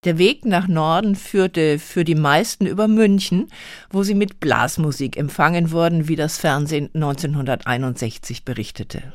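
A woman co-hosting a history podcast, reading scripted historical narration in a measured way. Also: deep voice, dry recording. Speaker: podcast co-host. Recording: dry studio sound with faint music.

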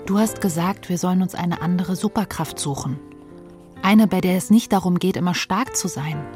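Reflective woman as a wistful grandchild, soft music behind. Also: lowish voice, moderate pace, close to an everyday voice. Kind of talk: radio play acting.

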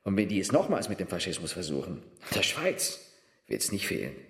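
Radio drama role: a bitter, self-doubting war veteran sculptor, lowish and animated, in a roomy space. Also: male voice. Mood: bitter, indignant. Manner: brooding, sardonic, halting when confessing. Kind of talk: radio play dialogue.

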